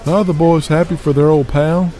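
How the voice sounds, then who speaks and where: A man's voice: on the high side, fairly even intonation, fairly quick, over music, close to an everyday voice. An actor playing a casual, laid-back wrestling-business man in an audio drama.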